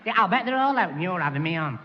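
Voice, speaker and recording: A man's voice: sits high, big pitch swings, racing, highs cut off. A man playing a dim, earnest young man who stammers and repeats his words, in a 1960s radio sitcom. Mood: flustered. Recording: hissy old radio broadcast with reverb.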